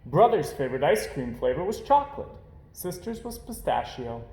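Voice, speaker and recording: A man reads a children's picture book aloud in a lively, theatrical way. His voice sits a little high and moves up and down freely. The recording has a roomy echo. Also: normal speed. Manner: animated, dramatic emphasis. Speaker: storybook reader.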